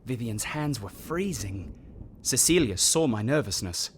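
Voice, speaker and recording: An actor playing a sensitive young orphan who narrates a gothic radio mystery in a hushed, intense, literary way, with a breathy voice. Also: male voice, medium pitch, animated, a little slow, slight background noise, close to an everyday voice. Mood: fearful, hushed. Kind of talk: dramatic first-person radio play narration.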